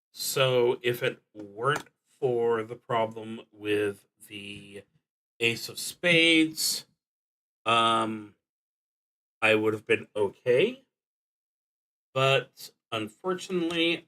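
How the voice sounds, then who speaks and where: A man streaming a solo tabletop roleplaying game, thinking aloud casually and often pausing mid-sentence. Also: mid-range voice, a bit bright, low-quality recording. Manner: halting, with frequent pauses mid-sentence.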